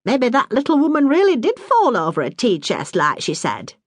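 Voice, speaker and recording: A woman voicing a middle-aged mother, bossy but warm-hearted, in a brisk, scolding dialect. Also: on the high side, wildly swooping pitch, no echo, pitched above the performer's own voice. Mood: musing.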